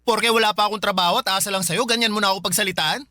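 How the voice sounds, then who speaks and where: An actor voicing a defiant, sulky young man in a radio drama, talking back to his older sister with quick retorts. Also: mid-range voice, fairly even intonation, pushed and bright, no room sound, clean studio sound, close to an everyday voice.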